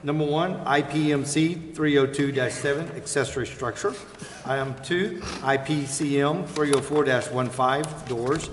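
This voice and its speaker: A man's voice: medium pitch, normal speed. An American man formally reading out agenda items as chair of a public meeting in a council chamber. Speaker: meeting chair, presiding official. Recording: echoey council chamber, clear background noise.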